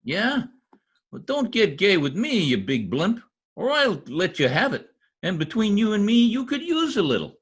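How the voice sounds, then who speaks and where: A man's voice: sits high, huge pitch swings, normal speed, slightly rough, full character voice. A man playing a folksy, cocky, stubborn husband in an old-time radio comedy.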